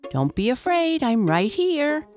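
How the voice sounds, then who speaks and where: A woman's voice: high voice, very animated, measured pace, music in the background, pitched above the performer's own voice. A big, good-natured stuffed elephant from a children's tale, as a woman reader puts him on. He sounds hearty and jovial, in a lifted, swooping voice. His manner is kindly and reassuring. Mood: jolly, reassuring. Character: big jolly kind male stuffed toy elephant. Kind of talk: children's storybook character voice.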